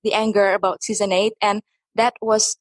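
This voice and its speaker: A woman from Indonesia, a podcast guest speaking English haltingly with many pauses. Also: medium pitch, flat delivery, no room sound. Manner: hesitant, many "uh" and restarts. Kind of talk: casual podcast chat.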